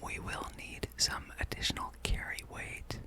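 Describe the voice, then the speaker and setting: A gaming ASMR host in a breathy, unvoiced whisper, musing aloud in an unhurried ramble while playing.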